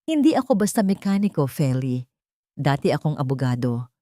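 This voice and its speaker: A woman's voice: low-pitched, big pitch swings, normal speed, dark tone, no echo, studio quality. A woman voicing a sickly, bitter and determined man in a romantic story drama.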